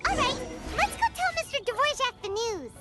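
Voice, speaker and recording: A woman voicing a tiny, cheerful, talkative childlike fairy companion in a video game, with a squeaky, bubbly delivery. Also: very animated, normal speed, music in the background. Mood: excited. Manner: bubbly, emphatic, refers to self in third person.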